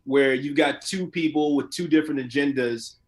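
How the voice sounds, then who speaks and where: A man who works as an actor, talking casually and colloquially as an interview guest, telling stories in an animated way. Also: fairly high pitch, moderate pace. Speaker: voice and motion capture actor being interviewed.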